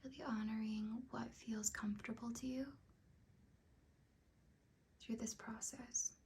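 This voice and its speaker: A woman leading a guided meditation in a breathy, whispery ASMR voice, speaking gently and soothingly with long unhurried pauses. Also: on the high side, fairly even intonation, slight background noise.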